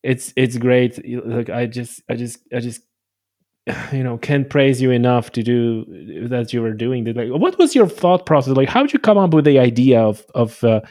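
Guy hosting a podcast, mid voice, excited, keeps restarting sentences. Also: medium pitch, moderate pace. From Poland. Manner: enthusiastic, rambling, many restarts. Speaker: podcast host. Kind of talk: conversational interview.

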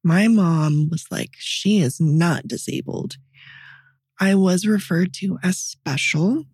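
A woman with a raspy voice, co-hosting a podcast and telling personal stories in a candid, reflective, conversational way. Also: lowish voice, slow, a little mellow, no echo. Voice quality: raspy voice from partly paralyzed vocal cords. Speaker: podcast co-host. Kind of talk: personal storytelling in casual podcast chat.

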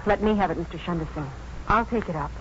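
An actress playing a devoted, emotional but resolute doctor's wife in a 1950s radio drama, earnest and theatrical. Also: female voice, fairly low pitch, fast, natural voice. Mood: firm, indignant. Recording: muffled, noisy 1950s radio recording.

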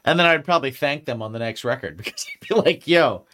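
A man's voice: medium pitch, lively intonation, fast, pushed and bright, slight background noise. A man co-hosting a podcast in casual chat, rambling and restarting his sentences and swearing freely. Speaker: podcast co-host.

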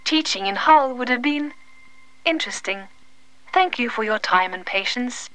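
A woman playing a condescending, calmly menacing artificial intelligence delivering a formal, deadpan letter as an audio drama monologue. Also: fairly high pitch, moderate pace, poor audio. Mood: detached, superior. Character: superintelligent AI, female, condescending, calmly menacing, detached.

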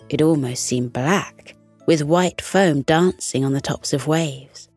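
A woman with a fairly low voice narrates a scripted children's bedtime story. She takes her time, speaking very slowly and soothingly. Music plays behind her. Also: a little mellow, no room sound.

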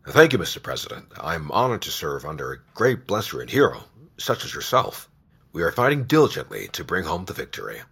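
A man's voice: sits low, big pitch swings, fairly quick, a bit bright, slightly rough, full character voice. An actor playing a humble, honorable male army sergeant who speaks earnestly and respectfully. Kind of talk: audio drama acting.

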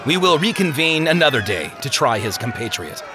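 A man's voice: medium pitch, sing-song, a little fast, background noise, close to an everyday voice. A man voicing a pompous, self-satisfied cruel judge in a dramatized story, declaiming his sentences. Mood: smug, condemning.

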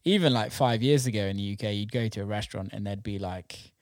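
A man's voice: on the low side, fast talker, no echo. A British man chatting casually and informally, enthusiastic and given to swearing. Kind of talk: casual chat about food.

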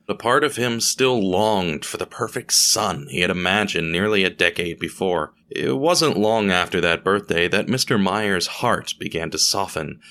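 A man narrating an audio drama in a deliberate, theatrical storytelling style, speaking straight to the listener. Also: low-pitched, sharp tone, a little hiss or hum.

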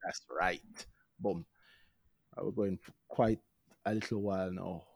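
A man's voice: low-pitched, fairly even intonation, a little slow, creaky, darkish tone, no room sound. A Jamaican man co-hosting a podcast, chatting informally about finance in a hesitant, self-repeating way. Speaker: podcast co-host reviewing stocks. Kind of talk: casual unscripted financial chat.

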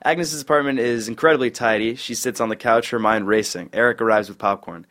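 A man narrates stage directions for a sitcom table read. His pitch is average for a man, and he reads scene headings and actions as scripted narration. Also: a little fast, a bit bright.

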